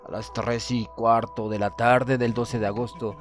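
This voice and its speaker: A man narrating a horror audiobook in the first person, reading solemnly and deliberately with theatrical emphasis. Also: mid-range voice, level tone. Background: music.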